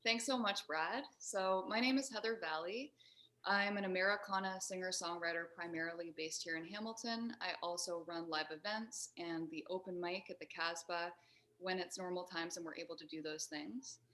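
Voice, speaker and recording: A woman's voice: fairly low pitch, normal speed, bright, forceful tone. A woman singer-songwriter introducing herself on a panel, friendly and straightforward. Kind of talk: self-introduction on a video panel.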